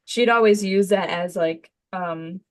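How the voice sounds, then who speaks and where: A woman's voice: medium pitch, measured pace. A woman student giving thoughtful answers in casual chat, tentatively searching for her words. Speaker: voice acting student.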